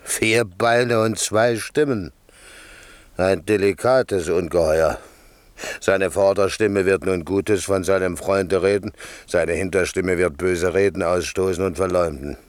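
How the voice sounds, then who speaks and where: A man's voice: low-pitched, measured pace, clear, resonant tone, no room sound. A man playing a drunken, blustering shipwrecked servant in a classic radio play, boozy, drawling and bossy. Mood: amazed.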